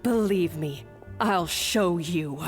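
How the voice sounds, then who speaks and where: A woman's voice: lowish voice, slow. A woman voicing a haughty, combative young chef in a video game, taunting and demanding. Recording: music behind the voice.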